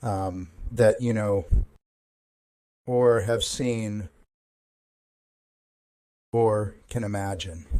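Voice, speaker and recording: A man leading a guided meditation as a counselor, speaking in a calm, measured, gentle instructional way with pauses. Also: fairly low pitch, no echo, rough recording.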